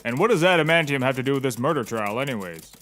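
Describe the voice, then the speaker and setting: A man's voice: medium pitch, expressive, fast talker, fairly bright tone, lightly acted. A man playing a stern, exasperated courtroom judge in a comedy audio drama, commanding and formal.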